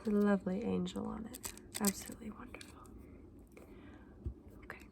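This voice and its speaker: A woman's voice: medium pitch, steady tone, brisk. Whispering, kindly fantasy shopkeeper woman, acted by a woman. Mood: delighted, attentive. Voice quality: soft, breathy whispering. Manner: gentle, polite, quaint old-fashioned phrasing. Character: kindly, eccentric fantasy shopkeeper woman selling dragon eggs. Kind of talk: ASMR roleplay. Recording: clearly audible background noise.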